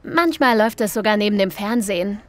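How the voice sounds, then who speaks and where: A woman's voice: medium pitch, expressive, a little fast, lightly acted. A woman playing an easygoing, informal younger passer-by, chatting casually in a scripted language-lesson dialogue.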